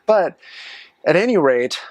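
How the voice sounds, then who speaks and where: A man's voice: on the high side, very animated, a little slow, a little mellow, dry recording. A man in his forties, rambling casually to camera from a small garage office, chatty and self-mocking with wandering asides. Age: 40s. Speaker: vlogger and live streamer.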